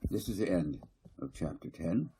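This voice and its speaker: A man's voice: sits low, expressive, normal speed, warm tone, slight background noise. A man reading a novel aloud as its author, stumbling now and then over his words. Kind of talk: audiobook narration with dialogue.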